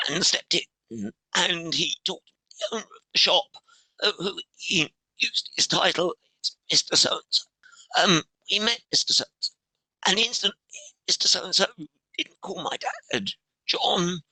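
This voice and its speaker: A middle-aged man, a podcast guest, talking with a strained, hoarse voice in halting phrases that break off and restart. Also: high voice, expressive, bright, forceful tone, dry recording, low-quality recording. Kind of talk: interview conversation.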